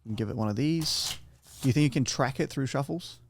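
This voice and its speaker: A man's voice: medium pitch, fairly quick, slight background noise. A man hosting a podcast, chatting casually and playfully with his guest, often restarting his sentences. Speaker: podcast host.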